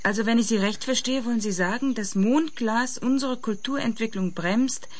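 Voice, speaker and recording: An actress playing a sceptical, idealistic woman in a radio play, questioning in a measured, polite way. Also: fairly high pitch, no room sound, lightly acted. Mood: probing. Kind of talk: German radio play acting.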